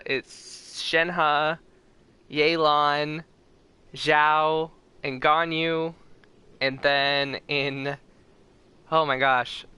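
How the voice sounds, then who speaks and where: A man's voice: on the high side, bright, forceful tone, clear, resonant tone. A man hosting a casual game livestream, chatting informally with his viewers. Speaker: livestream host. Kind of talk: casual game stream chat.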